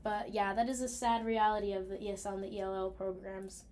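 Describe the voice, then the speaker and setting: A woman's voice: mid-range voice, little pitch movement, quick pace, darkish tone. A young woman talking casually and reflectively about herself, in an informal way full of "like". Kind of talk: casual personal conversation.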